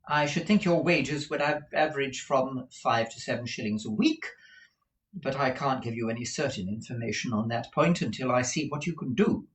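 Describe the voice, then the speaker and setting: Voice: mid-range voice, moderate pace, pitched above the performer's own voice, close to an everyday voice. A reader voicing an imperious, proper woman who runs a business, formal and emphatic in manner, in a storybook. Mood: businesslike. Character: imperious businesswoman, brisk, proper shop proprietress wife.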